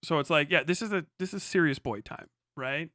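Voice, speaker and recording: A man's voice: fairly high pitch, a little fast, pushed and bright, no echo, clean studio sound. A man hosting a casual livestream, giving blunt, profane, slangy political commentary and talking back to chat. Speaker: livestream host.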